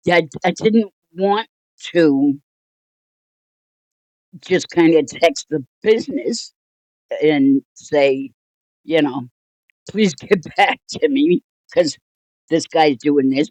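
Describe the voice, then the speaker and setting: An older woman telling a personal story casually, in a rambling, halting, wryly amused way. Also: deep voice, darkish tone, no room sound, low-quality recording. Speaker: storytelling vlogger.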